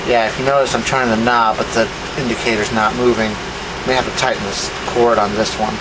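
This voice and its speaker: A man with a medium-pitched voice talks casually as he demonstrates something for a homemade video. His delivery is offhand and rambling, unscripted throughout. A clear humming noise sits behind his voice on the camera recording. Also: level tone, fast talker. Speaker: video host showing vintage electronics. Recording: clear background hum and rumble noise.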